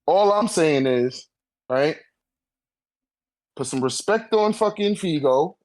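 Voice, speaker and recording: A man chatting casually in an animated, slangy manner with heavy swearing, as a guest in a friendly debate. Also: fairly high pitch, slow. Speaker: guest debating a manga tier list. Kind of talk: casual chat.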